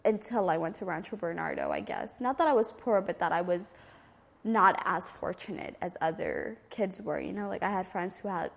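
A woman's voice: on the low side, moderate pace, gravelly. A woman around thirty, from Ethiopia and raised in San Diego, answering interview questions in an informal, reflective, hesitant way. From Ethiopia, raised in San Diego from age nine. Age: 30s. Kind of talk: oral history interview, casual recollection. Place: community center room. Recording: long reverberant hall-like echo.

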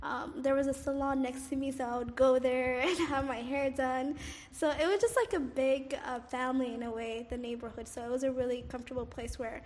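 A young woman with a high, light voice, from Somalia by way of Cairo, talks through her memories in an unhurried, hesitant way, filling pauses with "um" and "like." Her voice carries a long reverberant tail, as if she were in a large room. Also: fairly even intonation. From Somalia, raised in Cairo, Egypt. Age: 20s. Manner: hesitant, frequent "um" and "like", soft laughs. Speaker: oral history interviewee. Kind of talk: casual personal recollection.

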